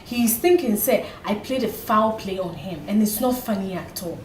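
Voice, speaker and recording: A woman's voice: medium pitch, expressive, moderate pace, a little hiss or hum, close to an everyday voice. An actress with a Ghanaian accent playing an anxious wife who fears she is being betrayed. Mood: distressed. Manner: agitated, pleading, mixing languages.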